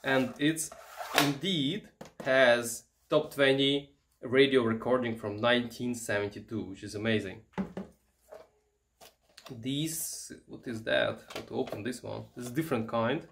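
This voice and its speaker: A man's voice: medium pitch, steady tone, creaky. Man musing casually over noisy recording. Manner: halting, musing aloud while handling items. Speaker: vintage electronics vlogger. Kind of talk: casual unscripted show-and-tell commentary.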